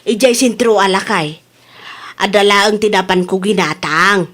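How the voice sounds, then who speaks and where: A woman's voice: medium pitch, moderate pace, fairly bright tone, lightly acted. A woman with an Ilocano accent playing a vain, stubborn, playful old mother in a radio comedy drama, coy and defensive. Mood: coy, grateful.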